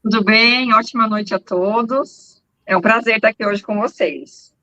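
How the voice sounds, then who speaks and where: A woman doctor with a fairly high voice explains patiently, tagging her phrases as she goes. Her video-call audio is dull and dry, without much room sound. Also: steady tone, moderate pace, pushed and bright, dry recording. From São Paulo state, Brazil. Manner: explanatory, frequent "né" tags. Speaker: gynecologist guest. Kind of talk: explaining medical topic.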